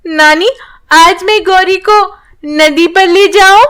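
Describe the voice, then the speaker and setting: A woman's voice: very high-pitched, slow, fairly bright tone, pitched above the performer's own voice, exaggerated voice. A woman voicing an affectionate, easily frightened little village girl in a storybook tale, in a childlike, earnest manner. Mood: eager, affectionate.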